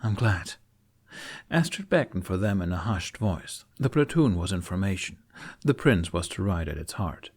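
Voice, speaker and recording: Man, lowish pitch, expressive story narration, scripted audiobook reading. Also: normal speed, husky. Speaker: fan fiction narrator.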